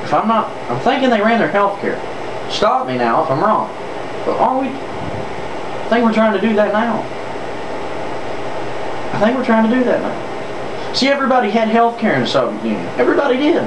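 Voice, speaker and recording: A man's voice: high voice, sing-song, moderate pace, pauses often. Southern guy doing a sarcastic political rant, repeats himself to make points, echoey room w noticeable hum. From southern United States. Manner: sarcastic, emphatic, repeats phrases for effect. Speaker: political vlogger. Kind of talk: off-the-cuff political monologue. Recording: roomy, distant mic, noticeable air-conditioner-like noise.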